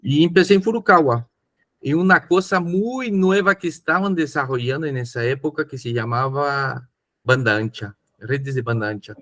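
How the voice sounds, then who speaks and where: Man from Chile, mid pitch, rambling podcast talker. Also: normal speed, fairly bright tone. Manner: rambling, with repeated words and fillers. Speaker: podcast host. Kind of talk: casual chat.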